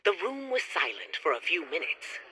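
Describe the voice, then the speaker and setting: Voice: medium pitch, huge pitch swings, normal speed, bright, forceful tone, rough recording. A narrator telling a radio drama story in a dramatic, storybook manner.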